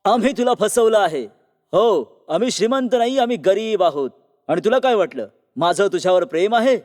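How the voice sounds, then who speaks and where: A callous, boastful young villain husband from a cartoon tale, played by a man. His voice sits high, full of mockery. A hall-like reverb trails every phrase. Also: animated, normal speed, darkish tone. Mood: gloating, sneering. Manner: sneering, mocking. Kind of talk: cartoon moral story voice acting.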